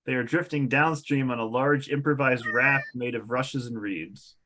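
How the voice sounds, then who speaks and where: A man serves as narrator at a fantasy table read. He reads scene descriptions and stage directions in a medium-pitched voice. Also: moderate pace, background noise.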